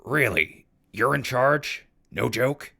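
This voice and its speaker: An actor in an audio drama playing a gruff, exasperated and weary wasteland survivor with a raspy voice. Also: male voice, mid-range voice, expressive, slow, sharp tone, heavily acted. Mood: baffled.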